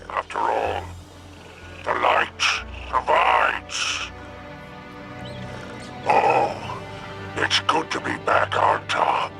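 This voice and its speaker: A man voicing a sly, smug alien criminal boss in a video game, gravelly and theatrical, drawing out his phrases. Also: mid-range voice, exaggerated voice. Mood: scheming, gloating. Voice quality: gravelly, creaky. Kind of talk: video game character monologue.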